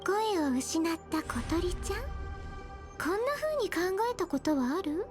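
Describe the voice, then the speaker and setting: A mischievous young trickster girl from an anime game. Her voice is high and quick, with a singsong, taunting playfulness over clear background music. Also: big pitch swings. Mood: sly teasing. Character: mischievous, teasing young female trickster in a mask. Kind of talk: Japanese anime-style game acting.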